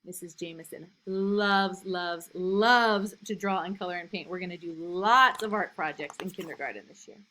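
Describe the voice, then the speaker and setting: A woman's voice: fairly low pitch, moderate pace, few pauses, pushed and bright, rough recording. A woman kindergarten teacher chatting with young children in simple, encouraging words and asking them questions. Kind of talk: read-aloud and chat addressed to young children. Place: indoors in a classroom.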